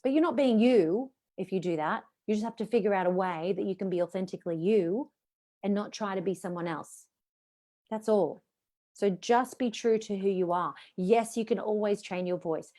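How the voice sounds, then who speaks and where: A woman's voice: mid-range voice, normal speed, dark tone. A middle-aged woman, a voice coach, teaching an online session over a video call in an encouraging coaching tone.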